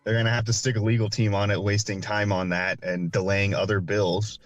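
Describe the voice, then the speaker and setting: A man's voice: fairly low pitch, little pitch movement, brisk, no room sound, dull audio. A man giving a news interview soundbite, dismissive of a proposal.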